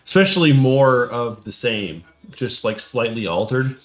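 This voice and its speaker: A man co-hosting a podcast in casual chat, halting and repeating his phrases. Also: medium pitch, animated, muffled recording. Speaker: podcast co-host. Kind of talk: casual chat while reading through game rules.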